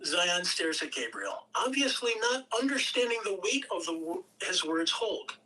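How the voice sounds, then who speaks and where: A woman's voice: on the low side, animated, normal speed, pushed and bright, recorded from a distance, low-quality recording. A woman reading stage directions as scripted narration at a table read.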